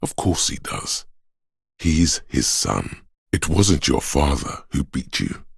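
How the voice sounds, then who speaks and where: Inner voice of empathy, extremely low pitch, very husky, tender, perceptive, measured video game narration, close and dry. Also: male voice, sing-song, no echo, heavily acted. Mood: tender, knowing. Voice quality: very deep, gravelly, husky. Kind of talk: scripted game narration, second-person commentary.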